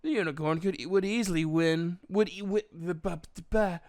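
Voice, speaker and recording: A man voicing a proud elf mage in a storybook reading, a gruff but kindly mentor with emphatic, old-fashioned speech. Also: sits high, moderate pace, darkish tone, natural voice. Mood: approving, lecturing.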